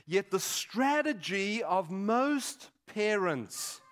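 A man in his sixties preaching a sermon in a deliberate, emphatic way, pausing between short phrases. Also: high voice, very animated, fairly bright tone. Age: 60s. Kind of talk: sermon with statistics and teaching. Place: large dim auditorium stage at a lectern.

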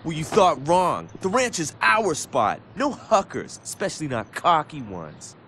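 A man's voice: on the high side, sing-song, measured pace, sharp tone, a little hiss or hum, exaggerated voice. A man playing a cocky, territorial skater tough guy in a video game, with brash, slangy talk. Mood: challenging. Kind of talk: video game cutscene acting.

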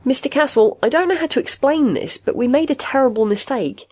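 An awkward company representative in an audiobook story, a man acted by a woman, formal and apologetic. His voice is raised high, with lively movement. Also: fairly quick.

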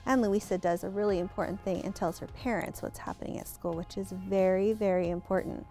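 A middle-aged woman hosting a bedtime read-aloud, speaking deliberately and expressively as she would to young children. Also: mid-range voice, steady tone, dark tone, faint music behind. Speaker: host reading bedtime stories to children. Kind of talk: picture-book read-aloud and simple explanation for children. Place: living-room style set, indoors.

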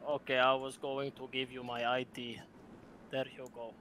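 A man playing an eccentric, excitable reindeer herder in game role-play, with an earnest, halting, emphatic delivery. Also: mid-range voice, slight background noise, natural voice, poor audio. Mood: earnest, proud.

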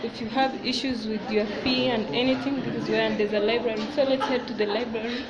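A woman's voice: on the high side, fairly even intonation, a little fast, muffled recording. A young woman from Kenya giving a casual walking tour of her college, talking to viewers as guys while filming on her phone indoors. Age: young adult. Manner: addresses viewers as guys, chatty and repetitive. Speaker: student vlogger. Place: college corridors and classrooms, indoors. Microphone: handheld phone camera. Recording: phone recording with loud crowd noise. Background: noisy crowd of students.

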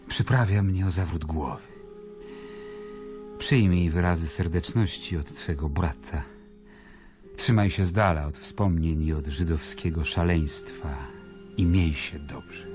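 An actor playing a grave Roman governor who confides in his brother, in a measured, solemn radio-drama narration. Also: low-pitched. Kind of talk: radio drama, epistolary first-person narration. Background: music.

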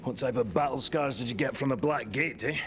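An actor playing a boisterous, mocking, grizzled old monster hunter in a video game cutscene, with a teasing, theatrical manner. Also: male voice, mid-range voice, a little fast, slight background noise, natural voice. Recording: loud music behind the voice.

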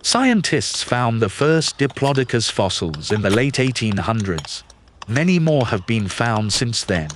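A man reading a children's nonfiction picture book aloud in a clear, patient, teacherly way. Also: mid-range voice, very animated, a little slow, a little hiss or hum. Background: background music.

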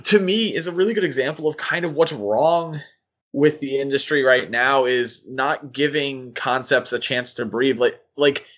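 A man co-hosting a podcast, giving casual critical commentary in a halting, thinking-aloud way. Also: medium pitch, fairly bright tone. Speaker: podcast co-host. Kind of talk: casual critical comics discussion.

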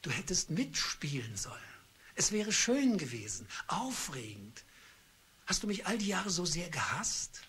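A bitter husband in an old radio drama, laying out his accusations coldly and deliberately. His voice sounds very husky and rough. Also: sits high, very animated, fairly bright tone, heavily acted. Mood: coldly accusing. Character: bitter middle-aged husband turned cold avenger. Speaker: husband Charles. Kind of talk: radio play acting.

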